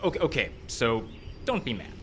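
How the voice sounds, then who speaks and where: A sneaky nervous filmmaker pitch guy, radio comedy, voice all over the place, stammery, birds n outdoor noise behind. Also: moderate pace. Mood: sheepish, evasive. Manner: stammering, evasive, excitable salesman patter. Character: scheming, evasive would-be filmmaker man, nervously persuasive. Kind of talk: live old-time radio style comedy acting. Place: outdoor park stage. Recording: outdoor live show with background noise. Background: birds and outdoor ambience.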